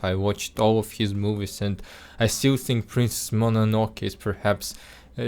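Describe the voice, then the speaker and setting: A man's voice: on the low side, smooth. A man speaking English as a second language with an accent listeners have taken for Russian, talking through a film review in an earnest way, with frequent restarts and filler words. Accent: Russian-sounding non-native English. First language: not English. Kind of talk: casual spoken film review.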